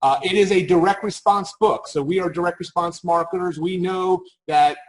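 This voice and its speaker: A man's voice: fairly high pitch, poor audio. A man on a marketing panel explaining things casually, often restarting and correcting himself mid-sentence. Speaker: marketing expert on a panel call.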